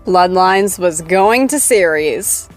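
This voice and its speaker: A bubbly teasing talk show host. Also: female voice, fairly low pitch, expressive, takes it slowly, faint music behind. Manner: playful, teasing, gushing. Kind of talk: scripted audio drama, acted interview.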